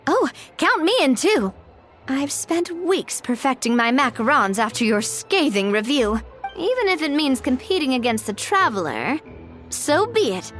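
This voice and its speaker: An actress voicing an eager, confident, competitive young woman in a video game, with a spirited, animated delivery. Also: high-pitched, measured pace, heavily acted. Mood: eager, determined. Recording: music behind.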